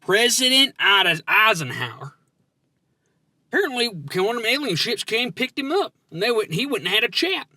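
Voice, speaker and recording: A man puts on an excitable country conspiracy nut. The voice is high, bright and very animated, recorded dry. The character rambles and stumbles through folksy explanations on a comedy podcast. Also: moderate pace, no room sound, heavily acted. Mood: excited, explaining. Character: excitable, slow-witted country man, eager conspiracy theorist. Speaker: podcast co-host.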